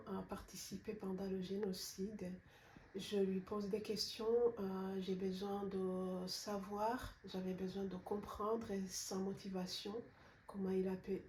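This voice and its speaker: Woman, middle-aged, unhurried and measured, hesitant author testimonial, sincere, faint background noise. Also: mid-range voice, dark tone, reverberant. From Rwanda. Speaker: author presenting her book. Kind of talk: unscripted personal testimonial to camera.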